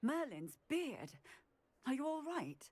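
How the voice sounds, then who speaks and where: A woman voicing a brisk, plain-spoken officer of magic in a fantasy video game, emphatic, with a British accent. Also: high voice, very animated, a little mellow, no echo, full character voice. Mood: amazed, grateful.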